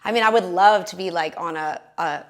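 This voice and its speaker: Chatty young woman with a raspy voice. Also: on the low side, brisk, crowd noise. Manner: chatty, full of fillers like "you know" and "like". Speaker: singer guest. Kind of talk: casual interview chat.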